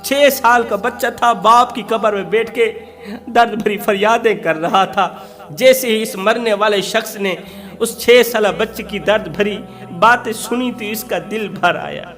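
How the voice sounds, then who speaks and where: A man's voice: high voice, measured pace, a bit bright, faint music behind. A man preaching through emotional storytelling, dramatic, repeating phrases for emphasis.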